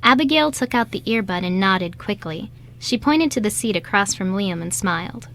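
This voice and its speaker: A woman's voice: mid-range voice, lively intonation, normal speed, fairly bright tone, slight background noise. Woman narrating a scripted story. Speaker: narrator of a radio play.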